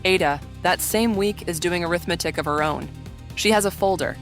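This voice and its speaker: Measured woman narrator over faint music. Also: on the low side. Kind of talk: scripted audio drama narration.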